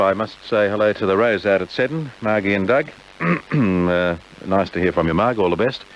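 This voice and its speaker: Deep male radio host, chatty and halting, casual dedications, muffled tape, clear background hiss and noise. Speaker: radio presenter. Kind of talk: casual radio presenting, personal farewells and dedications.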